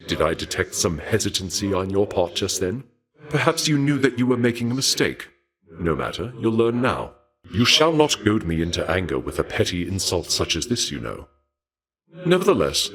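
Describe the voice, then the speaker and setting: Deep video game war god, posh manners, super formal, bloodthirsty, really swoopy menacing delivery. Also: male voice. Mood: cold, menacing. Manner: formal, courtly, measured, savouring talk of violence. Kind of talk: theatrical video game character dialogue.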